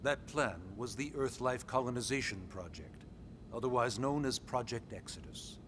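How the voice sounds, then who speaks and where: An actor playing a composed, authoritative middle-aged military commander in a video game cutscene, speaking in a measured, deliberate way with weighty pauses. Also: male voice, mid-range voice, background noise, close to an everyday voice. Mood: explaining, resolute. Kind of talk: video game cutscene acting, expository dialogue.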